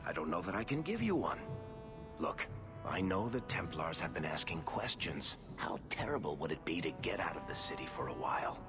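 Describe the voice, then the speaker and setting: An actor playing a wry, smooth-talking dwarf rogue and dealmaker in a video game, persuasive and glib. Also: male voice, low-pitched, very animated, brisk. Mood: earnest persuasion. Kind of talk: video game cutscene dialogue. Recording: music behind the voice. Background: background music.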